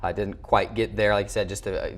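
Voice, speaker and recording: A man's voice: lowish voice, flat delivery, fast. An American man hosting a college sports interview show, recapping a season and putting questions to his guest. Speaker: sports show host and play-by-play broadcaster.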